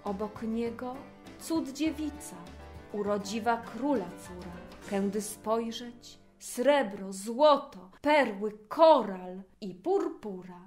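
A woman narrating a rhymed fairy tale for children in an expressive storytelling manner. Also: a little high.

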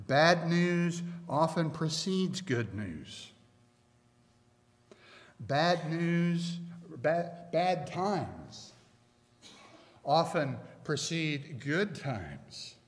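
Slow, emphatic middle-aged male preacher. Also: on the high side, sing-song. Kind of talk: sermon. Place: church sanctuary, at a pulpit. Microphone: pulpit microphone. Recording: long church reverb.

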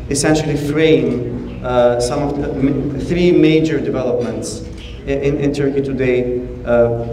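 A middle-aged man moderating a public forum, speaking in a measured, halting way with pauses mid-sentence. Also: medium pitch, mellow. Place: large hall stage, seated at table. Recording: echoey hall, distant, faint noise.

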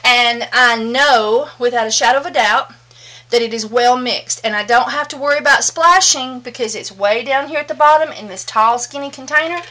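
A woman's voice: a little high, moderate pace, sharp tone. A middle-aged woman with a Southern US accent, chatty and folksy, demonstrating soapmaking at home and calling her viewers "y'all.". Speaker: soapmaking instructor. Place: home soap room, indoors.

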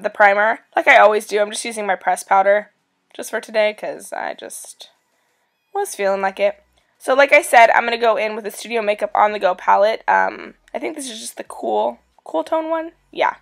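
A young woman in her twenties with a raspy voice from a cold, chatting upbeat through a makeup tutorial as a beauty vlogger. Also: medium pitch.

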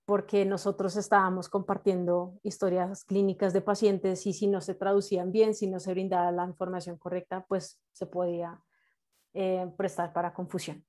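A young woman with a Colombian accent, a native English speaker, teaches an online class informally, encouraging her students in a halting way with frequent check-in questions. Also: on the low side, little pitch movement, darkish tone. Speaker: medical English teacher and nurse. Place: indoors, on a video call. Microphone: video call microphone.

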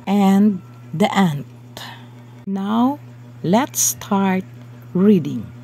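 A woman teacher reading a script aloud for reading practice, deliberate and phrase by phrase. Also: medium pitch, warm tone. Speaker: teacher and narrator.

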